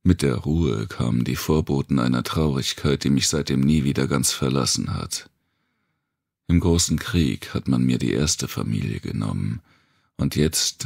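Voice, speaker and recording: A man with a gravelly, husky voice, giving a brooding, deliberate first-person audiobook narration of dark fiction. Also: very deep voice, dry recording, studio quality.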